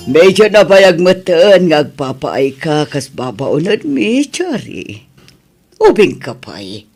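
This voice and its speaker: A woman's voice: deep voice, very animated, slow, darkish tone, slightly rough, soft background music, heavily acted. A woman playing a caring, encouraging middle-aged mother in an Ilocano radio drama, tender and coaxing, with an Ilocano accent.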